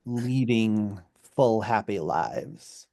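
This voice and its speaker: A man hosting a radio interview, asking questions in a halting way with restarts. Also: on the low side, animated, creaky, a little mellow, no echo, studio quality. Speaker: radio host. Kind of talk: interviewing a guest. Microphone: studio mic.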